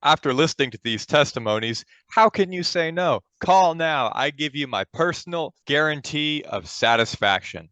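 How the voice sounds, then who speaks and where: Shady infomercial pitchman, played by a man: forceful, lowish voice, hard sell, close and dry, for a comedy radio parody. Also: expressive, smooth, no room sound. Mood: pushy. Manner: pushy salesman patter, rushed disclaimers. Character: pushy fast-talking shady male robot-company infomercial pitchman.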